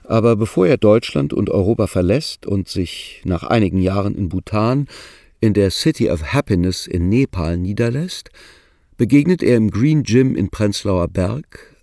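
Sober guy narrator for a radio play, gravelly, dry close sound, measured. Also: fairly low pitch, expressive, warm tone, dry recording. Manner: sober, measured, matter-of-fact. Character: matter-of-fact chronicler narrating a troubled life story. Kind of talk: scripted literary narration.

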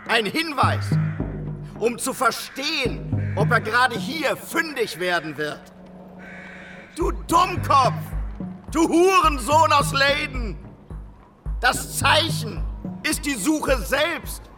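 An actor playing a fiery, fanatical street prophet in a radio drama, declaiming apocalyptic visions with exalted fervour and dramatic pauses. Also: male voice, very high voice, expressive, music in the background, full character voice. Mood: self-berating, exalted.

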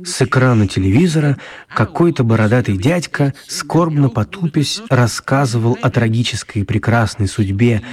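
A man's voice: mid-range voice, lively intonation, moderate pace. A man narrating a scripted story for a children's radio play.

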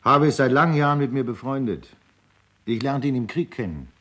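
A man's voice: mid-range voice, lively intonation, brisk, a bit bright. An actor playing a loyal war friend who defends his buddy in radio play testimony. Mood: earnest, defending.

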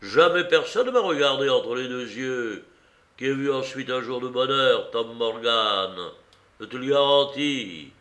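A man's voice: medium pitch, lively intonation, unhurried, fairly bright tone. A man voicing an old one-legged pirate captain who roars threats and commands his crew. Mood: menacing.

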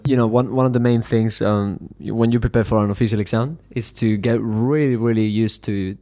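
Mellow-voiced male podcast host. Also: medium pitch, fairly quick. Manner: relaxed, many "you know" fillers. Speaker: podcast host, English teacher. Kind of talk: casual interview chat.

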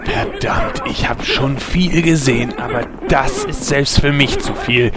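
A man playing a gruff, cocky, bossy adventurer in a German fantasy parody audio drama, blunt and exasperated. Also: medium pitch, unhurried, a loud crowd, close to an everyday voice.

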